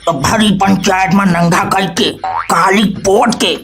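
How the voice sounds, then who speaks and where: Cranky old rustic uncle, high gravelly voice, slow scolding delivery, cartoon, loud music behind. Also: male voice, steady tone. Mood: mocking. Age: older. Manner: preachy, crude, scolding. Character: cranky old village uncle, scornful of modern love. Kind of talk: comic cartoon dubbing.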